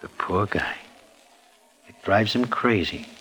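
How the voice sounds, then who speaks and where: An actor playing an excitable streetwise New sidekick who tells the story in slangy, oddly formal present tense, in a 1940s radio drama. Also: male voice, lowish voice, slow, a loud crowd, poor audio. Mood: surprised, pitying. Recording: old radio recording with music.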